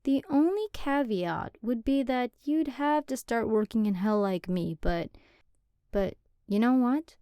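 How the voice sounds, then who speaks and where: A woman's voice: fairly high pitch, lively intonation, a little slow, dark tone, clean tone, no echo. A young woman voicing an affectionate, chatty female demon girlfriend in an ASMR roleplay, rambling and sometimes dropping to a whisper, close to the mic. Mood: playful.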